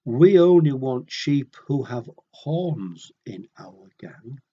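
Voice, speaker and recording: A man's voice: mid-range voice, animated, slow pace, muffled recording, a little hiss or hum. A man voicing a snooty, cliquey sheep in a children's storybook, blunt and dismissive of outsiders.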